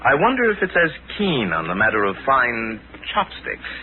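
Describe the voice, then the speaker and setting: An American actor playing a calm, commanding pulp hero sleuth, asking measured, polite but firm questions in 1940s radio style. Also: male voice, mid-range voice, narrow, tinny audio. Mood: composed, wry. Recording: band-limited, hissy 1940s radio recording.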